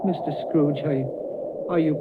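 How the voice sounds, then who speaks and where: An actor playing a meek, flustered clerk in a vintage radio drama, hesitant and deferential. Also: male voice, fairly high pitch, fairly even intonation, unhurried, lightly acted. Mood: nervous. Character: meek, apologetic male clerk, flustered and timid. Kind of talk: 1930s radio drama acting. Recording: noisy, muffled 1939 radio broadcast.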